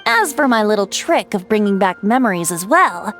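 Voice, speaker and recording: A woman's voice: medium pitch, sing-song, moderate pace, a bit bright. A woman voicing a playful, wistful ancient spirit in the shape of a youthful bard in a video game, with a lilting, teasing, theatrical delivery. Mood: lighthearted. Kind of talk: scripted game character storytelling. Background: background music.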